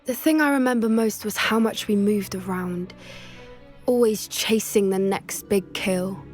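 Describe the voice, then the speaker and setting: A woman performs a teenage British witch for a fantasy video game. She is earnest, articulate and a little wistful, at a medium pitch. Soft music sits behind her confiding delivery. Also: a little slow, lightly acted. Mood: reflective, wistful. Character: earnest teenage witch girl, animal lover, wistful but resolute. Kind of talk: video game dialogue acting.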